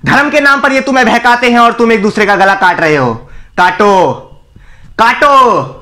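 A man playing a defiant condemned man who bitterly berates an apathetic crowd in a theatrical, scornful film-style monologue with emphatic pauses. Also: high-pitched, pushed and bright. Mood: bitter, accusing. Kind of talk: dramatic Hindi film monologue recital.

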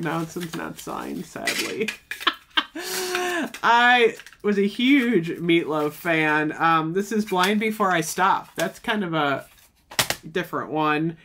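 A middle-aged woman chatting casually to camera in an expressive, exclamatory, nostalgic way. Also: medium pitch, slight background noise. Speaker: vlogger showing a personal collection. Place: indoor room with shelves.

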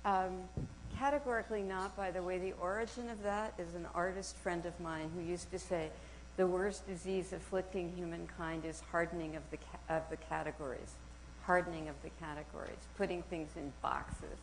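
Soft-spoken woman lecturing in an auditorium. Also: fairly low pitch, moderate pace, a little hiss or hum, low-quality recording. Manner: animated and searching, with restarts and small laughs. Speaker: speaker giving a talk. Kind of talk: university lecture with reading aloud. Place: university auditorium.

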